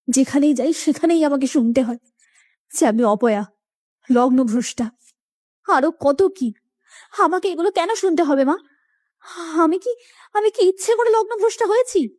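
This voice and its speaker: A woman's voice: high-pitched, normal speed, pauses often, a little mellow, lightly acted. A woman playing an aggrieved young village daughter in a cartoon, plaintive and protesting. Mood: hurt, protesting. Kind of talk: cartoon voice acting.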